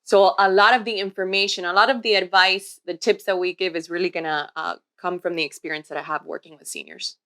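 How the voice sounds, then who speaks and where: A woman in her forties co-hosting a podcast, talking in a warm, steady, explanatory way. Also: fairly low pitch, a little fast, hardly any pauses. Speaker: podcast co-host, healthcare worker. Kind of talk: casual podcast chat.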